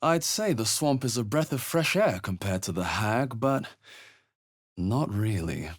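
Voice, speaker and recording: A husky, lowish-voiced male adventurer from a fantasy video game. He is wary and determined, speaking in terse, measured phrases with a dramatic edge, as befits a spellcasting hero. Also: animated, full character voice. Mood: wary, observant. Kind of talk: video game character voice lines.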